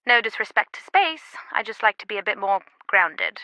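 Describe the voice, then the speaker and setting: A woman playing an earnest, slightly guarded and loyal confidante in a video game, speaking in a measured way. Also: mid-range voice, big pitch swings, bright, forceful tone, dry recording, poor audio. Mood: calm, informative. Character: earnest, secretive female phone confidante, loyal and concerned.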